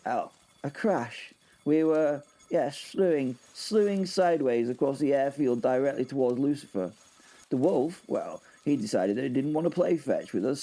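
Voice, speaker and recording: A man narrating a comic audio drama in the first person, halting, wry and theatrically exasperated. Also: medium pitch, warm tone, slight background noise.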